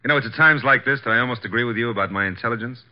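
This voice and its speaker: An actor playing a wisecracking, loyal American detective's assistant, breezy and slangy, in 1950s radio drama style. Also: male voice, medium pitch, very fast, lightly acted. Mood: wry, puzzled. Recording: dull vintage 1950s radio recording.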